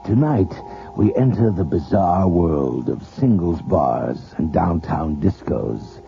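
A man as the ominous narrator of a horror radio drama, slow and brooding. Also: low-pitched, expressive, creaky, warm tone, soft background music, exaggerated voice. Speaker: series narrator and host. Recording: muffled old radio broadcast with hiss.

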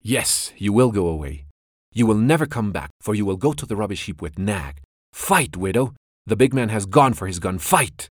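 A man voicing a brave young male mongoose in a storybook, loud, excited and taunting. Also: low-pitched, moderate pace, no room sound, clean studio sound. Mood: gleeful taunting.

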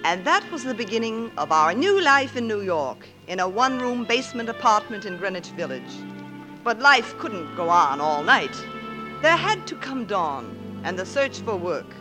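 An actress playing a wry, plucky, protective older sister with a dry wit, acting and narrating in a 1940s radio comedy. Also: female voice, medium pitch, big pitch swings, moderate pace, music in the background, lightly acted. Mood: wry narration. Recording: old 1940s radio broadcast.

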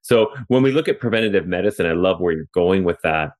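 Brief: Canadian male podcast host, very low voice, chatty, lots of "you know". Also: animated, fast talker, darkish tone. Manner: chatty, loose, many fillers and restarts. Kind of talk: conversational interview. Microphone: remote call.

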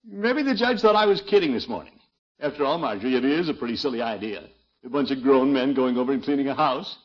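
A man's voice: medium pitch, animated, fast, natural voice. A man playing a pompous, grumbling middle-aged uncle in an American accent, with blustery, muttering delivery in 1950s radio comedy style. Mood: sheepish grumbling. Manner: blustery, muttering, hemming and hawing. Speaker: comic lead actor in radio sitcom. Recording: muffled vintage 1950s radio recording.

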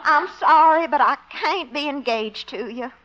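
A woman's voice: high voice, measured pace, pushed and bright. A woman playing a flighty, coy sweetheart in a 1950s radio sitcom, in a cutesy, melodramatic manner. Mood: coyly apologetic. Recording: muffled old radio recording.

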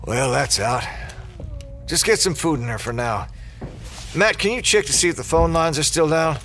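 A man playing a steady, earnest small-town sheriff in an audio drama. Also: on the high side, normal speed. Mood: tense, urgent. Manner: earnest, practical.

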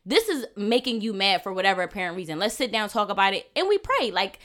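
A woman guest on a podcast who talks loudly and bluntly, with a combative edge, in casual chat. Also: medium pitch, sing-song, quick pace. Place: lives in Los Angeles.

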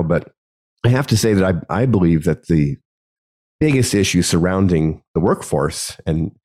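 A man hosting his own podcast alone, talking through a topic in a relaxed conversational way with many ums and restarts, his voice gravelly from a cold. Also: fairly low pitch. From United States. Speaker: podcast host. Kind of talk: solo podcast monologue.

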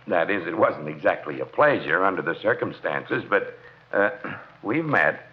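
An actor playing a stolid, well-meaning, awkward police chief in a 1940s radio comedy. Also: male voice, low-pitched, sing-song, exaggerated voice. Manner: halting, awkward, throat-clearing. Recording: muffled, faintly noisy 1940s radio.

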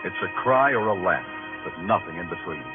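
Hard-boiled police detective, brooding and clipped, lively low-to-high swings, old band-limited radio drama sound. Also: male voice, fairly quick, phone-quality sound, loud music behind the voice. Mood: brooding, poetic. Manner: terse, clipped, streetwise delivery. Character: hard-boiled world-weary New York police detective, brooding and nosy. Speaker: lead actor and narrator. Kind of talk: 1950s radio crime drama acting, noir narration.

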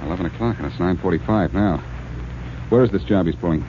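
An actor playing a wry, tough, confident amateur detective with a wisecracking, pressing manner in a 1940s radio crime drama. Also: male voice, sits low, quick pace, close to an everyday voice. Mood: menacing. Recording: noisy, dull old radio recording.

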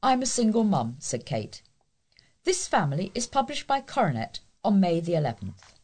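A woman's voice: mid-range voice, very animated, normal speed, a little mellow. A woman with a British accent reading scripted local news as a volunteer newsreader.

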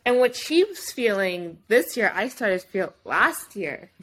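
A woman's voice: mid-range voice, big pitch swings, a little slow, fairly bright tone, low-quality recording. A woman in her thirties hosting a podcast, talking candidly and laughing easily in casual chat. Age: 30s. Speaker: podcast host.

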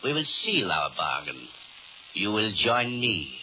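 Radio horror Devil: low, sweeping delivery, courtly menace, muffled and noisy old broadcast. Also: male voice, big pitch swings, unhurried. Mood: coaxing, menacing. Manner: formal, courtly, menacing, theatrical. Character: suave, sinister Devil, mocking and commanding. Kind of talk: 1930s radio horror acting.

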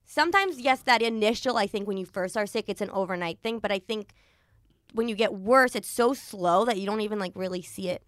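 A young American woman telling a personal story in a casual, animated, rambling way, acting out bits of dialogue. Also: medium pitch, a little fast, no echo, studio quality. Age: young adult. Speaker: podcast host. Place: podcast studio.

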